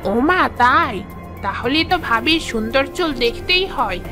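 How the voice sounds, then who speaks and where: Woman as bright, high-pitched young visitor. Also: lively intonation, unhurried, full character voice. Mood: curious. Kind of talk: children's fairy-tale cartoon. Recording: music behind the voice.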